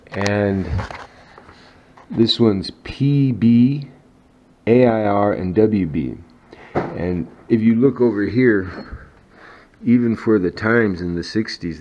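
A man giving a casual, unscripted demonstration as a hobbyist, explaining things in a matter-of-fact way in a kitchen. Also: sits low. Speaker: radio hobbyist demonstrating a vintage set. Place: kitchen indoors. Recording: dull sound with faint background noise.

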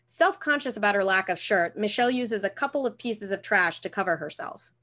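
A woman reads stage directions as scripted narration. Her voice is bright and medium in pitch, recorded on a computer video call in a room at home. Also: animated, brisk.

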